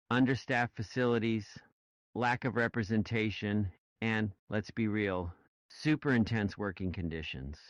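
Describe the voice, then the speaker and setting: A man's voice: fairly low pitch, dry recording. A man in a casual podcast discussion, speaking emphatically and in a questioning way, on a slightly muffled recording. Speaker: podcast panelist.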